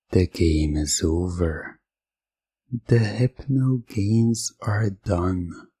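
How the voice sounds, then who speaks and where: Casting: male hypnotist, low and mellow, husky tone, soft commanding repetitions, very slow, close dry mic. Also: no echo. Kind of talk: hypnosis ASMR roleplay.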